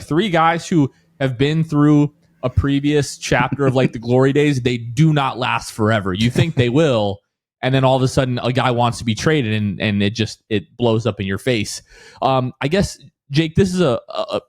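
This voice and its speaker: A man's voice: mid-range voice, moderate pace, no echo. A man hosting a sports podcast in casual, informal chat, often dropping "like" into his sentences. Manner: informal, peppers talk with "like". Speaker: podcast host.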